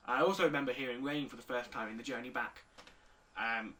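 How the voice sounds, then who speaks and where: An eighteen-year-old man, calmly answering interview questions with pauses. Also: mid-range voice, distant mic. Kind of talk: recorded video interview answers.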